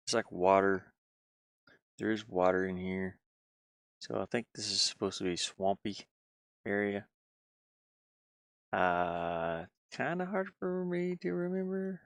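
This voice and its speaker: Low, gravelly man thinking aloud. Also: fairly bright tone, no room sound, clean studio sound. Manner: rambling, musing, hesitant. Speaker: game developer live streamer. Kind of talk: casual live stream commentary, thinking aloud.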